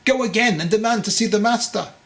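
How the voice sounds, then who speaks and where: A man's voice: high voice, fast, fairly bright tone, voice pushed up. A man voicing a distraught, scolding, overprotective mother in an audiobook, with a pleading, demanding manner. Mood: anguished, demanding. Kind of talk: audiobook character voice.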